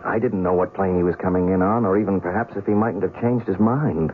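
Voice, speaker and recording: A man's voice: sits low, a little fast, narrow, tinny audio, close to an everyday voice. An actor playing a shaken, skeptical and stubborn male reporter in an old-time radio drama, talking in a colloquial, pleading way. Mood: narrating. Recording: noisy, thin old radio recording.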